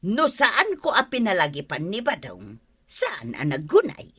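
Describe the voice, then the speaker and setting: A woman's voice: mid-range voice, huge pitch swings, a little slow, a bit bright, slightly rough, heavily acted. A woman playing an assertive, no-nonsense scolding character in an Ilocano comedy drama, speaking emphatically with an Ilocano accent. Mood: wry.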